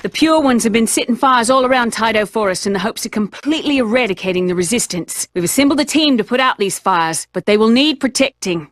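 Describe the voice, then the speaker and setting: A woman's voice: fairly high pitch, expressive, quick pace, hardly any pauses, no echo, natural voice. A woman voicing an owl resistance commander. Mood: commanding. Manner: urgent orders, briefing. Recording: voice over game music.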